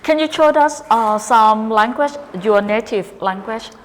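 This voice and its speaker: A woman's voice: fairly high pitch, a little slow, long reverb tail, slight background noise. A woman hosting a casual radio interview, asking simple questions in plain, non-native phrasing and filling the gaps with short encouraging sounds. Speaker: radio host.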